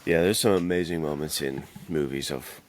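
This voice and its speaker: A man's voice: a bass voice, normal speed, slight background noise. A man co-hosting a podcast, chatting casually in laid-back, slangy fan talk. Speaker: podcast co-host. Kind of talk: casual chat about films and games.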